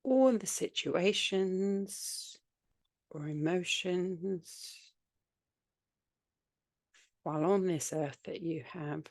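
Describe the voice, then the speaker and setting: Slow, low-voiced woman guiding deep relaxation. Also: a little mellow, no room sound, studio quality. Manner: soothing, unhurried phrasing with long pauses. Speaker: yoga nidra guide. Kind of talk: guided meditation, spoken relaxation practice.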